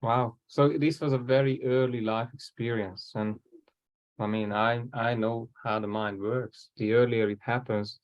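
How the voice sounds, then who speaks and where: A man hosting a podcast interview, asking reflective, halting questions. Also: lowish voice, lo-fi sound. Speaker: podcast host and coach.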